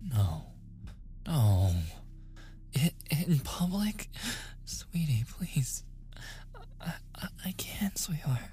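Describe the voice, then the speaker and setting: A man acting as a bashful young boyfriend in an ASMR roleplay, softly spoken and slow. He stammers and sighs through his protests, dropping into whispers, with music underneath. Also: fairly high pitch, sing-song, exaggerated voice. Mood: pleading, flustered. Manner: stammering, sighing, breathy asides, protesting. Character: flustered, submissive young boyfriend, pleading and bashful. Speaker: audio roleplay voice performer.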